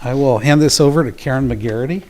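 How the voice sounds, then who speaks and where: A man presenting at a public town council meeting, talking in a measured, earnest way with fillers and pauses. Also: medium pitch, a little mellow. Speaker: youth program presenter.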